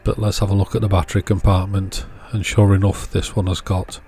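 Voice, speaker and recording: A man's voice: sits low, little pitch movement, brisk, dark tone, soft background music. A man giving casual, hands-on repair narration as a video host, in a matter-of-fact, understated way.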